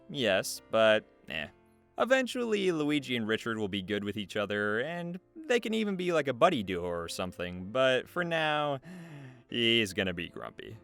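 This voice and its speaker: Guy doing casual interview narration, super animated and jokey, game music in the back. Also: medium pitch. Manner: jokey, playful, chuckling. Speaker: interviewer reading out questions and answers. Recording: music behind the voice. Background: video game music.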